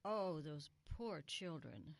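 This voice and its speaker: A woman playing a kindly elderly retired librarian in an audio drama, reminiscing gently and sorrowfully. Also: sits low, animated, slow, gravelly, no room sound, exaggerated voice. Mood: wistful, sad. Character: kindly, wistful elderly female retired small-town librarian. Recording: music under the voice. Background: music.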